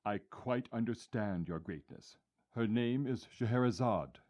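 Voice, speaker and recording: An actor playing a nervous, obsequious servant to a sultan in a radio drama, speaking formally and hesitantly. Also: male voice, fairly low pitch, a little slow, a little mellow, natural voice. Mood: fawning.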